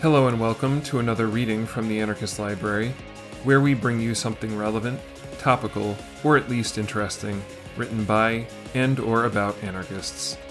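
Guy with a lowish voice reading an essay for a podcast, guitar music behind him. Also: steady tone, fairly quick. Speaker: reader and podcast segment presenter.

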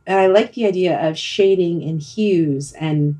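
Middle-aged woman, low voice, podcast host, casual and reflective, hesitant rambling delivery. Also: unhurried, mellow. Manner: hesitant, thinking aloud, rambling. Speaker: podcast host leading a discussion.

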